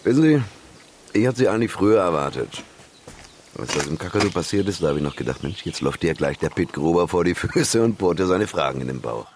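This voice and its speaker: An actor playing a slick, self-assured red-light boss in a German radio drama, jovial and expansive with menace underneath. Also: male voice, sits low, lively intonation, fast talker, slight background noise.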